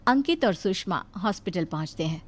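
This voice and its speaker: A woman's voice: fairly low pitch, animated, a little fast. A woman narrating a scripted moral story as the storyteller.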